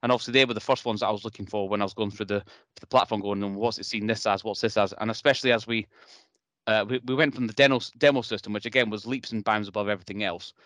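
A British man chats casually as a technical podcast co-host. He speaks quickly in a fairly low voice, often repeating and rephrasing. The sound is very dry, with no room echo. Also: steady tone, a bit bright.

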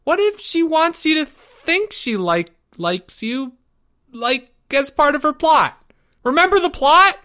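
A man's voice: very high voice, big pitch swings, pushed and bright, voice pushed up, full character voice. A man voicing a timid, anxious young boy, loyal and stammering, in a storybook reading. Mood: nervous, pleading. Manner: stammering, halting.

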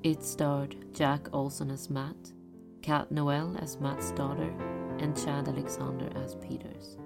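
A woman with a creaky voice reading credits as an announcer in scripted narration. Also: deep voice, flat delivery, takes it slowly. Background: piano music.

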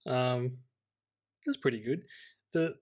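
Measured Australian man in casual conversation. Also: mid-range voice, lively intonation, darkish tone. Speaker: podcast co-host. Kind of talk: casual film discussion.

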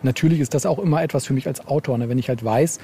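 A man speaking as a novelist being interviewed, telling anecdotes casually in a halting way with repeated words and frequent question tags. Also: mid-range voice, gravelly, warm tone, a little hiss or hum.

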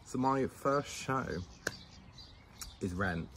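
A man's voice: mid-range voice, lively intonation, drawn-out words, background noise. A young man co-hosting a casual outdoor chat, reading from notes with stumbles and restarts, teasing his co-host. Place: outdoors in the sun.

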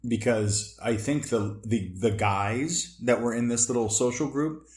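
A man's voice: medium pitch, steady tone, measured pace, reverberant. A young man co-hosting a podcast, teasing and swearing in casual banter. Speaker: podcast co-host. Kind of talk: casual chat.

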